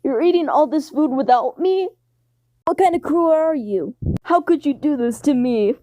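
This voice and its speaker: A woman's voice: high voice, expressive, normal speed, mellow, no room sound, studio quality, pitched above the performer's own voice. A woman voicing a hungry, whiny young male pirate captain who pleads for food. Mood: whiny pleading.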